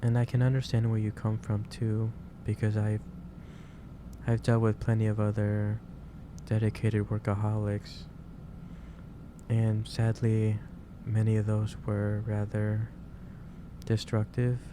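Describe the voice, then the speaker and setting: A man playing a gentle, caring office boss in a soft-spoken ASMR roleplay, speaking haltingly and repeating himself. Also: lowish voice, monotone, background noise, lightly acted. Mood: gently concerned. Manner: hesitant, halting, repeats phrases. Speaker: roleplay performer.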